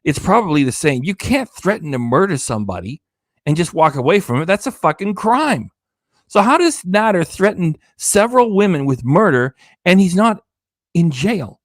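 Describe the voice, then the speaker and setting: A man with a somewhat high voice rants sarcastically during a reaction livestream, cursing freely. He sounds tired, and his voice is starting to give out. The recording is dry and close. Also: no room sound. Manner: sarcastic, indignant, swears often. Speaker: reaction channel host. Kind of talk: ranting livestream commentary.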